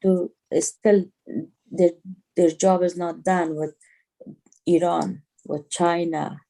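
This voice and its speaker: A middle-aged woman with an Afghan accent, speaking emphatically in halting English as a guest on a video call interview. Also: lowish voice, level tone, mellow. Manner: emphatic, repeats phrases in halting non-native English. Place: small home room, indoors. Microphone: video call on a phone or laptop.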